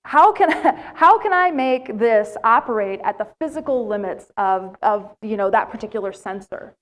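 A woman's voice: on the high side, normal speed. A middle-aged American woman giving a plenary lecture in a large lecture hall, telling stories and putting questions to the audience. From United States. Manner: animated storytelling with questions to the audience. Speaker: plenary speaker.

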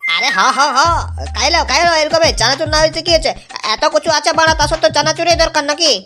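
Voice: high-pitched, fairly even intonation, fast talker, bright, forceful tone, faint music behind. A performer voicing a crude, easygoing male drinking buddy in a comedy cartoon, teasing and swearing casually. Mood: boozy, teasing.

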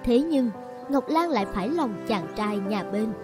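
A woman's voice: on the high side, expressive, normal speed, over music, natural voice. A woman narrating a folk tale in a scripted storybook style.

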